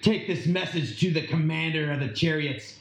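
A man's voice: on the high side, normal speed, natural voice. A man playing an urgent ancient army commander in an amateur audio drama, declaiming battle orders. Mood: urgent, commanding. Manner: loud declamatory barking of orders. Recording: echoey room.

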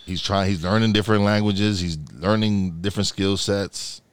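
A man's voice: on the low side, steady tone, moderate pace, clean tone, no room sound. A man from Brooklyn hosting a podcast, chatting casually, agreeable, laughing often and full of fillers. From Brooklyn, New York. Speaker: podcast host. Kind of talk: casual conversation.

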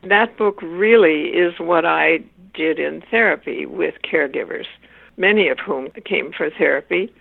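An older woman whose first language is Swiss German, speaking as an interview guest in a measured, thoughtful way and pausing to search for words. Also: deep voice, creaky, no echo. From Wisconsin, USA. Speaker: interview guest, author and family therapist. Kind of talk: reflective interview answers. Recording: tinny phone-like line.

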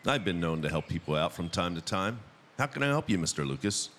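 A man's voice: low voice, sing-song, a little fast, fairly bright tone, a little hiss or hum. A man hosting a podcast and narrating a scripted first-person story in a dramatic storytelling manner.

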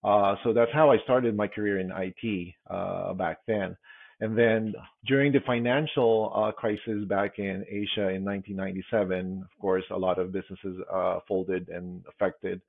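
A deep, gravelly man in his fifties answers interview questions in a halting way, circling back and restarting his sentences. His voice comes through a tinny, phone-like remote line with a dry sound. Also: fairly even intonation, no echo. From Philippines. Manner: halting, many fillers and restarts. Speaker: guest, security executive.

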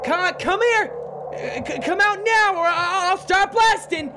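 A man's voice: extremely high pitch, measured pace, noisy background. A man acting in an audio horror drama as a panicked, belligerent, cornered man who stammers. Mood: frightened, defiant.

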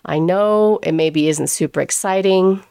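A woman's voice: fairly low pitch, a little slow, creaky, mellow, smooth. A woman who grew up in England, a pastry chef, telling her own story in a chatty way, quoting the people she met and laughing often. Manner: chatty, laughs often, quotes others in dialogue. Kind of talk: personal storytelling monologue.

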